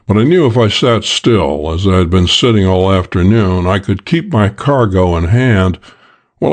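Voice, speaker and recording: A man's voice: lowish voice, darkish tone. A man narrating a first-person detective audiobook in a dry, wry, hard-boiled way.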